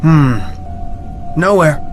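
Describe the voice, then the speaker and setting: A man's voice: a little high, extremely slow, faint music behind. An actor playing a conflicted, defensive young man in a video game, earnest and guarded. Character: conflicted, defensive young fiancé of a missing woman.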